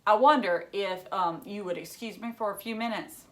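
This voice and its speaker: A woman voicing a reserved, formal male police sergeant in a children's novel read aloud, measured and polite. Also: mid-range voice, recorded from a distance, close to an everyday voice. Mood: measured, official.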